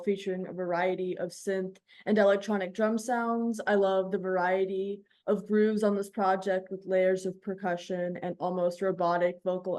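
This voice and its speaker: Articulate woman, medium pitch. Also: darkish tone. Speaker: radio host, interviewer. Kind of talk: prepared interview questions.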